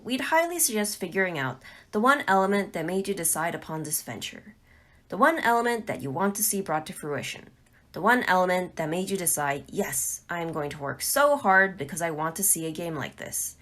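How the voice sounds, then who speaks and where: A woman's voice: medium pitch, a bit bright. A woman presenting a scripted tutorial as a narrator.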